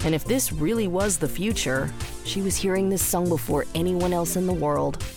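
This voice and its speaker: A woman's voice: on the low side, moderate pace. A woman reading scripted story narration for audio fiction. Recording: roomless dry studio, faint music underneath. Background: faint music.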